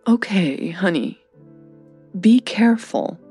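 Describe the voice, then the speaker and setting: Woman as a caring but blunt middle-aged mom in an audio drama, slow, husky, emotional. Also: medium pitch, expressive, dark tone, soft background music. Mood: tender.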